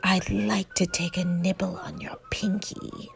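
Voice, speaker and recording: A woman's voice: medium pitch, little pitch movement, a little slow, vocal fry, faint music behind. Woman voicing whispery, growling storybook monster Gabe. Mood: gleeful, hungry. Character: Gabe, a hungry, menacing yet fond male bed monster. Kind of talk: children's storybook character voice.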